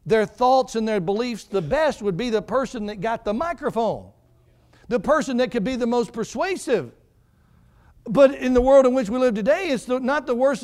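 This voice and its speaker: A man in his forties preaching a fervent sermon as pastor, building on repeated phrases and swinging between quiet and loud passages. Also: very high-pitched. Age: 40s.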